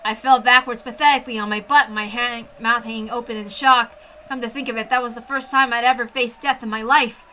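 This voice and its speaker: A woman reading a first-person story aloud with an expressive, intense dramatic delivery. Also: fairly high pitch, brisk, slight background noise. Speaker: amateur story reader.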